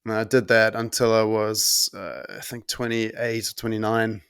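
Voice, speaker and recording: A man in his thirties from Germany, whose accent mixes German and New Zealand, talking as a podcast guest in a relaxed, rambling way with many ums and restarts. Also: fairly low pitch, moderate pace, clean tone, no room sound, studio quality. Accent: mix of German and New Zealand. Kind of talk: casual conversational interview.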